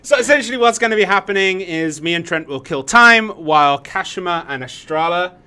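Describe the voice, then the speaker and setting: Male game community host, 30s, relaxed and joking, bright and slightly high. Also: fairly quick, clean tone. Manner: laid-back, jokey, laughs often. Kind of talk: casual livestream co-hosting. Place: studio in Korea.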